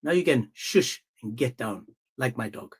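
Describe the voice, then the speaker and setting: A man's voice: mid-range voice, animated, measured pace, breathy edge. A man hosting a heated live-stream debate, mocking and confrontational, often talking over his guest.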